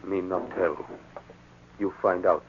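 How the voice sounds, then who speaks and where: A man playing a guarded young chief's son who speaks in terse, halting broken English in a 1940s radio drama. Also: deep voice, fairly even intonation, a little hiss or hum. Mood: grave. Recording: tinny, band-limited 1940s radio recording.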